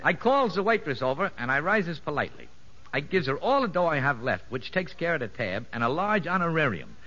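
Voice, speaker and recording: An actor playing a boastful, streetwise convict safecracker in a 1950s radio drama, talking in ungrammatical slang dressed up with pompous fancy words. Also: male voice, on the high side, sing-song, a little fast, sharp tone, heavily acted. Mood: boastful, relishing. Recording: dull vintage 1950s radio recording.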